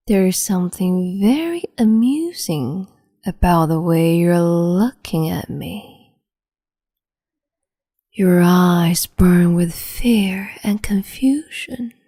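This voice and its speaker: A woman voicing a cruel, capricious empress in an ASMR roleplay, speaking slowly and haughtily in a half-whisper close to the microphone. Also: fairly low pitch, dark tone, slightly rough, dry recording, heavily acted. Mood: haughty, taunting.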